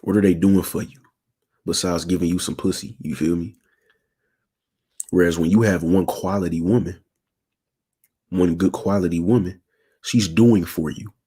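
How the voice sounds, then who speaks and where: Deep raspy young man, casual and slangy. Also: fairly even intonation, normal speed, pauses often, mellow. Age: 20s. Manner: slangy, keeps asking "you feel me". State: sore throat. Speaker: channel host. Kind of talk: casual livestream talk to viewers.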